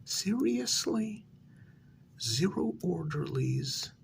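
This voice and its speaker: A man playing a frustrated, delusional psychiatric patient who thinks he created his world, in a soft-spoken ASMR role-play, breathy and often whispering, with halting, pointed questions. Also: fairly high pitch, big pitch swings, a little hiss or hum, exaggerated voice. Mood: insistent, incredulous.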